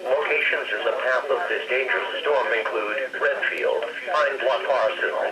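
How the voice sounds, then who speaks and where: A man's synthetic voice delivers a scripted emergency weather bulletin. It is flat and measured at a middling pitch, heard over a weather radio with loud chatter around it. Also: poor audio. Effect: weather radio speaker. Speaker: automated weather radio warning announcer. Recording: radio broadcast picked up in room, chatter behind.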